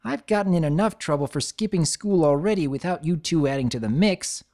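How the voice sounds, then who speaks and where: A woman voicing a brash tomboy girl pony who talks in a gruff, sarcastic, complaining way. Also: low-pitched, expressive, fairly quick, dark tone, pitched above the performer's own voice. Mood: grumpy.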